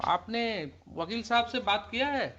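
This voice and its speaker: Indian guy as a judge grilling someone in court, high voice, noisy dull audio. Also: moderate pace, pushed and bright. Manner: authoritative, interrogating. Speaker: judge questioning a police officer. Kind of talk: courtroom questioning. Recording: muffled courtroom stream with clear noise.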